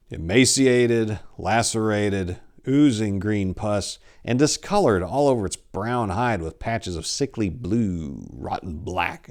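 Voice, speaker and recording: A man in his forties giving a theatrical, expressive dramatic reading of horror fiction. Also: sits low, gravelly. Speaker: storyteller. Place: small indoor room lined with bookshelves. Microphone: lapel.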